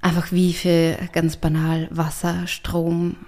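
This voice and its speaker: Dark, even-toned Austrian woman. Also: on the low side, slow. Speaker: podcast guest, writer and artist. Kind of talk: casual reflective conversation.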